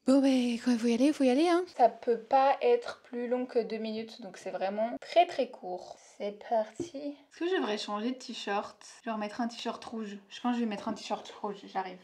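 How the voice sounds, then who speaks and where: A woman, vlogging in a chatty, self-mocking way with quick asides. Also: fairly high pitch, darkish tone. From Belgium. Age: 20s. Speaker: vlogger and au pair. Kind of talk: casual vlog chat.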